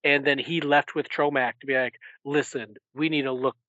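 A man with a mid-pitched voice talks casually as a podcast co-host. He sounds like a fan talking things over with friends. The recording is dry and close to lo-fi, with a dulled top end. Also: fairly even intonation, moderate pace, a bit bright, dry recording. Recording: dry, dull lo-fi audio.

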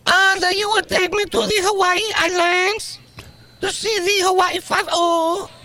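A man voicing a clingy, demanding Eastern European woman caller in broken English and a high falsetto. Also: little pitch movement, a little slow, sharp tone, no room sound, exaggerated voice. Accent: mock Eastern European. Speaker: prank caller bit.

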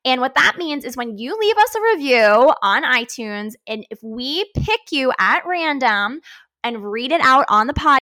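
Bright, upbeat woman podcast host. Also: fairly high pitch, poor audio. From Pennsylvania. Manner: upbeat, laughs often. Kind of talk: casual podcast chat.

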